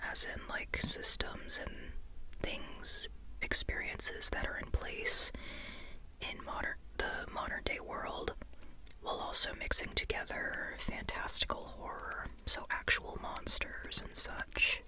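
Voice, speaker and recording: An ASMR host whispering in an informal, rambling way, softly and unhurriedly, often repeating phrases. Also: takes it slowly, rough recording. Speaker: ASMR host reviewing a book.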